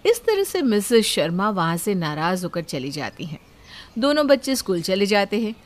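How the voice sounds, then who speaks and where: A woman's voice: mid-range voice, very animated, moderate pace. A woman narrating a family moral story and voicing its characters. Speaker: narrator and voice actor.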